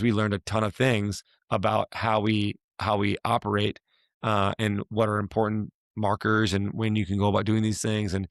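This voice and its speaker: A man hosting a casual talk, his voice hoarse and raspy from a week of yelling, rambling and restarting as he asks questions. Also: on the low side, dry recording, clean studio sound. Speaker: podcast host, fitness coach. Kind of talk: casual chat.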